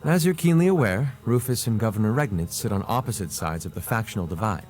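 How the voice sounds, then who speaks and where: Eloquent noble prince, swooping delivery. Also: male voice, on the low side, expressive, fast talker, darkish tone. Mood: measured, earnest. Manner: theatrical, refined. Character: eloquent, playful noble prince addressing students. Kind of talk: scripted game cutscene acting. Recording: music behind the voice.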